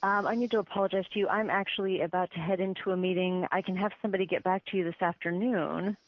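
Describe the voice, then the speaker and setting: A woman's voice: fairly low pitch, fairly even intonation, fast talker, no echo. A woman working as an office assistant, handling a business call in a polite, apologetic and deferential way over the telephone. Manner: deferential, apologetic, formal courtesy. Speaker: receptionist or office assistant taking calls. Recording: tinny band-limited phone line.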